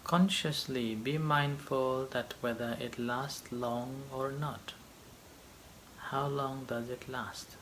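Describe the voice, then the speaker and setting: Male meditation teacher, slow delivery, short phrases, long pauses, light background noise. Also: mid-range voice, steady tone, fairly bright tone, rough recording. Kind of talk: guided meditation, slow gentle instruction.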